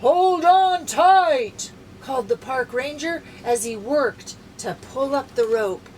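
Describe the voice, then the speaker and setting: An older woman, a grandmother, reading a children's story aloud in an animated, dramatic way. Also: on the high side, unhurried, a little hiss or hum.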